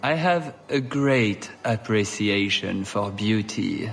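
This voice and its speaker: An actor playing a courtly noble lord in a fantasy video game, pompous, formal and smugly gracious. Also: male voice, fairly low pitch, expressive, slow pace, vocal fry, soft background music, full character voice. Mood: disdainful.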